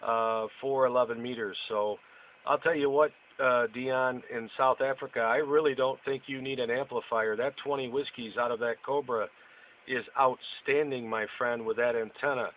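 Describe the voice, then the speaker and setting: A man talks casually as a radio operator. His mid-pitched voice comes through a dull two-way radio signal with some noise. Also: steady tone, normal speed. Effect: two-way radio transmission. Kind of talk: casual radio contact chat. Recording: noisy, muffled radio audio.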